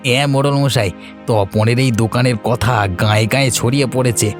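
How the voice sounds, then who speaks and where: A man's voice: medium pitch, steady tone, brisk, natural voice. A man playing a curious, chatty villager in a cartoon fairy tale, with a folksy way of talking. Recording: faint music bed.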